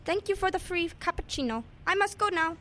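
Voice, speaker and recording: A woman's voice: extremely high pitch, lively intonation, brisk, sharp tone, exaggerated voice. A woman playing an odd, polite male stranger who may be an alien, speaking in stilted formal phrases in a radio drama. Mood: stiffly polite.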